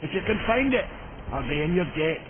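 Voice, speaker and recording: An actor playing a jovial, boastful maker in a fantasy video game, with a folksy, wry way of talking. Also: male voice, high-pitched, big pitch swings, fairly quick. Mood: sly, friendly. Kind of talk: video game cutscene acting. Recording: tinny band-limited audio with noisy ambience.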